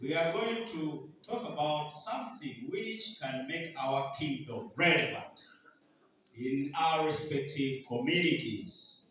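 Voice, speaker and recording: A Ugandan man preaches with a high, bright voice and slow, measured delivery. He keeps quizzing the congregation to complete his lines. His voice rings through a very echoey hall, heard from some distance off the mic. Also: animated, a little hiss or hum. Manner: call-and-response questions to the congregation. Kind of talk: sermon.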